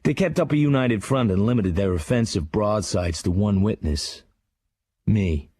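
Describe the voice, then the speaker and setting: Hard-boiled memoirist, husky low voice, sardonic, clipped, radio drama narration, very dry close sound. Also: male voice, moderate pace, mellow, dry recording. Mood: sardonic, cool. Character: hard-edged crime writer narrating his dark childhood memoir.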